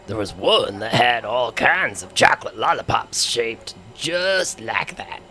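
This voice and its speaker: A man playing a folksy, dim but good-natured alien trucker with a Southern American drawl and a gravelly, rambling storyteller's delivery in an audio comedy. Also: medium pitch, very animated, unhurried, pushed and bright, a little hiss or hum. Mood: folksy musing. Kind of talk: comedic audio drama acting.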